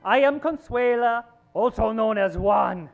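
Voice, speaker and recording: Plaintive, eccentric club owner, played by a man for radio comedy; high-voiced and unhurried. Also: vocal fry, phone-quality sound, over music. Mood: confessing. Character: aggrieved club owner from Portugal who secretly wears dresses. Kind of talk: old-time radio comedy acting.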